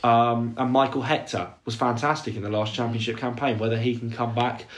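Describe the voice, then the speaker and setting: A talkative, opinionated man co-hosting a podcast in an easy, casual way. His voice sits in an ordinary middle pitch. He runs his points together quickly and drifts into asides. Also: steady tone. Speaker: podcast co-host. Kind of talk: casual football chat.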